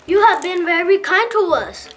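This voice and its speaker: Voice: extremely high pitch, lively intonation, moderate pace, fairly bright tone. A female performer playing a plain-spoken, easily baffled archer in a children's reader's theater play, on a noisy amateur recording.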